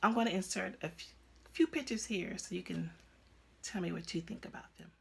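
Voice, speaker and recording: A woman's voice: on the low side, a little fast, vocal fry, slightly rough. A woman in her fifties hosting a crafting podcast, chatting casually at home with lots of ums and restarts. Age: 50s. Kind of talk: casual chat to camera. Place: at home, indoors.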